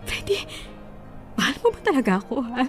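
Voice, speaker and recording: A woman's voice: sits high, very animated, brisk, fairly bright tone, soft background music. An actress playing an affectionate, hurt young wife and mother in an emotional, pleading Filipino radio drama style. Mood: hurt, reproachful.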